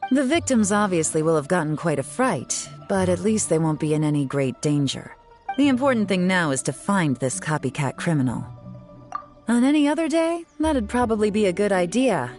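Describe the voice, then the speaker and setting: Sly, composed female investigator, low-ish voice, dry teasing delivery, faint game music. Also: very animated, normal speed, darkish tone. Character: cool, sly young woman investigator. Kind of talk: video game voice acting.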